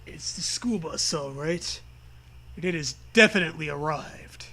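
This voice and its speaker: A man's voice: on the high side, measured pace, pushed and bright. A man co-hosting a comedic read-aloud, narrating in a mock-dramatic, jokey way with casual banter. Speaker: co-host reading a comedic story aloud.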